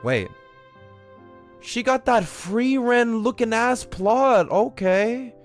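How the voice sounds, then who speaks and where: A male game streamer talks casually to his viewers with a very lively, swinging pitch. He uses slang and repeats phrases for emphasis, with faint music behind him. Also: takes it slowly. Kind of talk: casual live stream commentary.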